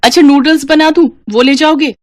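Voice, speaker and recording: A woman's voice: high-pitched, fairly even intonation, fairly quick, no room sound, pitched above the performer's own voice, close to an everyday voice. Casting: woman as overworked young housewife, obliging and harried, cartoon morality story, close dry sound. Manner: eager to please, harried. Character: dutiful, overworked young daughter-in-law and mother.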